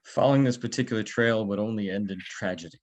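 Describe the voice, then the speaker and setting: A man's voice: lowish voice, fast talker, close to an everyday voice. A man playing a guarded, ominous security guard, cautionary in manner, in a screenplay read-through. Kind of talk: screenplay table read acting.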